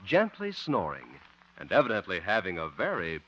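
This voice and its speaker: A man's voice: sits low, huge pitch swings, normal speed, a bit bright. Formal old-time radio announcer, man. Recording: dull 1950 broadcast with music behind.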